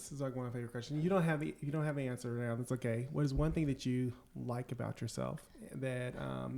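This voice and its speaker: A man's voice: mid-range voice, creaky, dark tone. A man hosting a podcast, chatting casually and affirming his guests with lots of "like" and "um". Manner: affirming, many fillers like "like" and "um". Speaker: podcast host. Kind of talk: casual chat.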